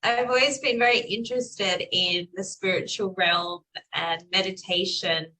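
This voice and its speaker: Young Australian woman with a South African tinge, bright forceful voice, easygoing and laughing guest on a video call. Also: medium pitch, steady tone, unhurried, low-quality recording. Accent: Australian with South African influence. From Perth, Western Australia. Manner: chatty, laughs often, frequent fillers. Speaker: interview guest. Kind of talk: casual conversational interview.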